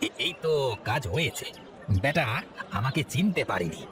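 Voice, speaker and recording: A man's voice: fairly high pitch, sing-song, moderate pace, fairly bright tone, a faint crowd. A man voicing a sly, scheming village thief in a cartoon, theatrical and wheedling. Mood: furtive. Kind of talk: cartoon voice acting. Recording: clear ambient noise behind voice.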